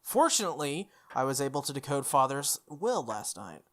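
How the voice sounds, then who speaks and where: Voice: medium pitch, big pitch swings, moderate pace. A let's play host reading a visual novel aloud, breaking off into casual, jokey asides. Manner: jokey, self-interrupting asides.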